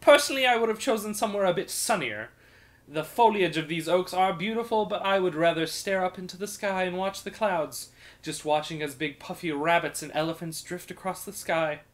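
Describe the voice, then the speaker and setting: A man's voice: high voice, fairly quick, voice pushed up, lightly acted. A man voicing a relentlessly cheerful, rambling wandering minstrel with a sing-song delivery, in a storybook reading. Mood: chatty, breezy.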